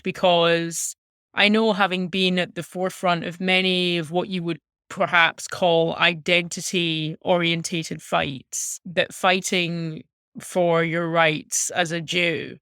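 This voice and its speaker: Female interview guest, thirties, Scottish accent, earnest and articulate storyteller, crisp tone, close dry sound. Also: lowish voice, a bit bright, dry recording. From Glasgow, Scotland. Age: 30s. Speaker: interview guest, music journalist. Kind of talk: reflective personal storytelling in an interview.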